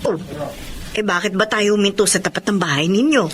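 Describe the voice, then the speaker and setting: An actress with a Filipino accent playing a concerned older sister in a radio drama. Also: female voice, mid-range voice, fairly quick, slight background noise, close to an everyday voice. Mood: confiding. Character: concerned older sister bringing news to her sibling.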